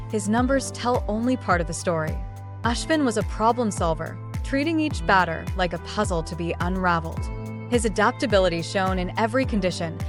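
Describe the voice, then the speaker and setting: A woman delivers a scripted tribute with measured, formal polish. Her voice sits at a middle pitch. Music plays clearly behind her throughout. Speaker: narrator. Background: loud background music.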